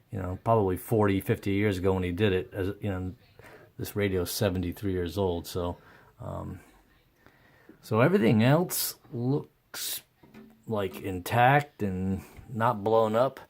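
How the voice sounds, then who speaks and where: A man talking casually and without a script through a repair as host, haltingly thinking aloud. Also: fairly low pitch. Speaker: host of a radio repair video. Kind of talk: casual unscripted repair commentary.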